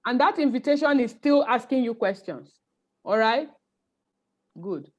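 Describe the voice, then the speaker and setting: A woman's voice: a little high, animated, measured pace, crowd noise. A woman from Nigeria teaching an online IELTS class, firm and instructive, calling on students and checking they understand. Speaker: IELTS tutor. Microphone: video call. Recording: muffled video call audio.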